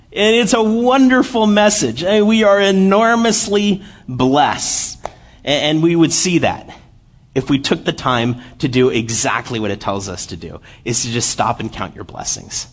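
A man in his late twenties giving a church sermon, lively while telling a story and then quiet and earnest as he reads scripture. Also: medium pitch. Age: 20s. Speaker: lay preacher.

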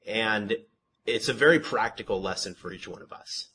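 A man teaching a Bible study in a conversational sermon style. He asks rhetorical questions, repeats himself, restarts words, and calls his own voice monotone. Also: fairly low pitch, normal speed, slightly rough.

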